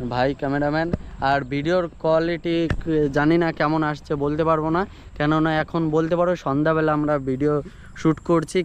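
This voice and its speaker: Young man vlogging outdoors, higher-pitched voice. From rural India. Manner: informal, buddy-like address, repeats filler phrases. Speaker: moto vlogger. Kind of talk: casual unboxing vlog chat to camera. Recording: noisy outdoor ambience.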